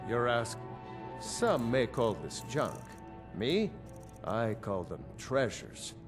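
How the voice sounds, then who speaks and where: A man playing an eager, theatrical junk shopkeeper pitching his wares in a fantasy video game. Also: very animated, slow. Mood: inviting, proud. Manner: salesman-like, theatrical. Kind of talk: scripted fantasy game dialogue. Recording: loud music behind.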